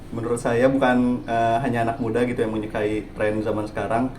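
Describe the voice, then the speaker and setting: A man's voice: medium pitch, little pitch movement, fairly quick, a little mellow, slight background noise. A man in his twenties answering interview questions in a hesitant way, with frequent filler sounds. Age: 20s. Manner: hesitant, many "eh" fillers and "gitu". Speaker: interviewee, entrepreneur. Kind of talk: casual spoken answers. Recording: roomy echo.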